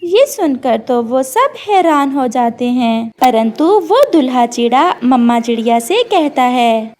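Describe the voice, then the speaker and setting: A woman's voice: sits high, big pitch swings, takes it slowly, a little mellow. Woman storyteller for cartoon tales, scripted, takes her time. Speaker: narrator.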